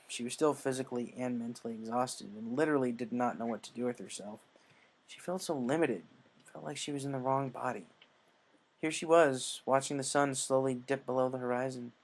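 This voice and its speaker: A man reading a scripted story as narrator, in a measured way with occasional stumbles. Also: medium pitch. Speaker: story reader.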